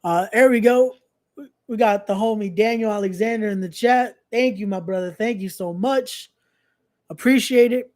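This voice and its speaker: A young adult podcast host talks in a loose, casual live-stream style at a middling pitch. Phrases get repeated, and promotional announcements are mixed with slangy, informal asides. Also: moderate pace, a little mellow.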